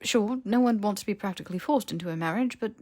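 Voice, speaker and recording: A woman's voice: mid-range voice, quick pace, darkish tone, voice pushed up, lightly acted. A woman voicing an earnest, stubborn young wizard man who questions things in blurted disbelief, in a fantasy audiobook. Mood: puzzled, reasoning.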